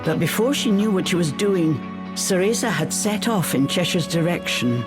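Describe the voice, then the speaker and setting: A woman playing a storybook narrator, telling a fairy tale in a video game in a measured, dramatic way. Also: on the low side, expressive, lightly acted. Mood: storytelling. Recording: music behind the narration.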